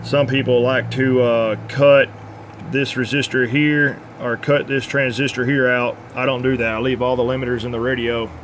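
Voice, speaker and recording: A man's voice: mid-range voice, level tone, normal speed, a bit bright. Man demonstrating repair work, faint background noise. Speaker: CB radio repair technician presenting his tune-up work. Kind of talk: informal workbench demonstration.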